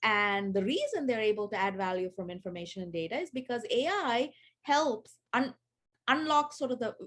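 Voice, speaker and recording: Woman, 40s, from Sri Lanka; easygoing interview guest, somewhat high voice, filler-heavy. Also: a bit bright. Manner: chatty, many fillers and restarts. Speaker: guest, AI education founder. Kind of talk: casual interview over video call.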